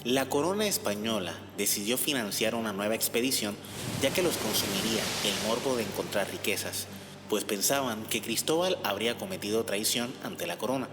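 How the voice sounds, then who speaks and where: A man's voice: on the low side, a little fast, noisy background. A man reading a scripted historical narration as a storyteller. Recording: long reverb, clear music underneath.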